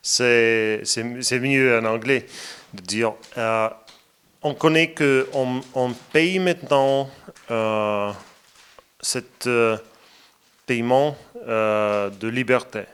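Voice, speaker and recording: A man from Latvia, a native Latvian speaker with a Latvian accent, giving a radio interview as a guest in slow, halting speech, pausing often to search for words. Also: mid-range voice, steady tone, fairly bright tone, clean tone. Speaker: interview guest, parliament president.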